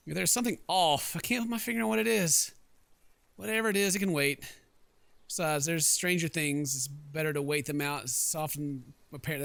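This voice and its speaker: Dude streaming a game, jokey rambly, bright punchy voice thats a bit high, super dry close sound. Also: male voice, creaky, no echo. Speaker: let's play streamer. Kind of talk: casual gameplay commentary with read-aloud narration.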